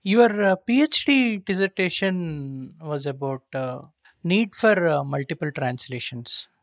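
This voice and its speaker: A podcast host reading a guest introduction and asking questions, halting often with uh. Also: mid-range voice, expressive, dry recording, studio quality. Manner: halting, with many uhs.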